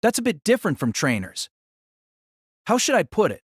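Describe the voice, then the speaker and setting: A woman playing a reserved, modest young male student with a husky voice, hesitant and self-effacing, in an audio drama. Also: mid-range voice, big pitch swings, moderate pace, no room sound, studio quality. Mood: modest, guarded.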